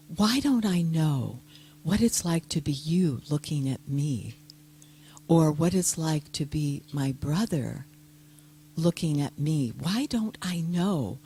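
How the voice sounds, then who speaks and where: An older woman, a guest speaker giving a soft-spoken, gentle and reflective personal talk to an audience. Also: low voice, slight background noise.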